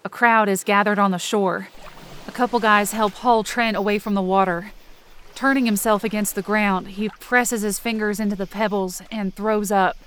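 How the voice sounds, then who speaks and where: A woman's voice: medium pitch, steady tone, normal speed, fairly bright tone, a little hiss or hum, close to an everyday voice. A woman playing a panicked young woman, narrating a desperate scene in the first person with urgent intensity for a fiction podcast. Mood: tense, exhausted. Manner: urgent, intense, breaking into cries.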